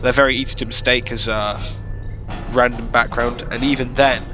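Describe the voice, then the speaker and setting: A man at an ordinary middle pitch, his voice nasal and sniffly from a head cold. He rambles through a self-deprecating commentary on a game level he built, full of filler words. Blaring game music plays loudly behind him. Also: flat delivery, moderate pace. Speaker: map creator giving developer commentary. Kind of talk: casual gameplay commentary. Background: loud game music.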